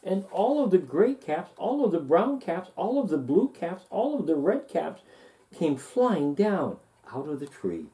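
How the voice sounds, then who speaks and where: Male storybook reader, relaxed mellow tone, animated intonation, deliberate sing-song emphasis. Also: high-pitched, poor audio. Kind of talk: expressive children's story read-aloud.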